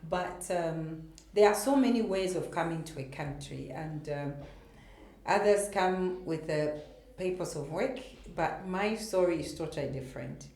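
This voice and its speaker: A middle-aged woman from Zambia tells her story as a guest in a casual interview, with a fairly low voice. She talks in an easy, storytelling way. The sound carries a roomy echo. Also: a little slow, darkish tone. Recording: echoey room.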